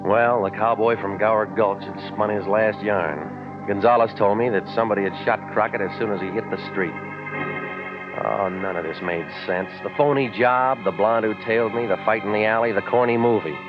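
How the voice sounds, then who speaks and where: An actor playing a cynical, wisecracking private detective in a 1940s radio drama, clipped and dry. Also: male voice, fairly low pitch, moderate pace, lightly acted. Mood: dry, narrating. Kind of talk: 1940s radio acting, noir narration. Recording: lo-fi 1940s radio, music behind.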